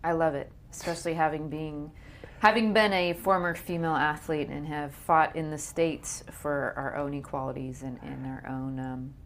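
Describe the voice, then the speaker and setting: Low gravelly-voiced woman host. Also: steady tone, normal speed, slight background noise. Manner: encouraging, lots of brief affirmations. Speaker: interview host. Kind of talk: casual interview chat.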